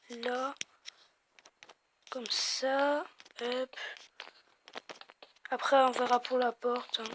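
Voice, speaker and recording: A high-pitched woman with a southern French accent rambles through casual game commentary, pausing and hesitating often. She records on a glitchy phone microphone, and loud noise hisses under her voice throughout. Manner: rambling, chatty, many hesitations. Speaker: let's play host.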